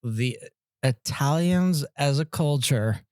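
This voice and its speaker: Lively, swearing podcast co-host man. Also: fairly high pitch, slow pace, clean tone. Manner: rambling, profane, lively storytelling. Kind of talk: casual comedic podcast banter.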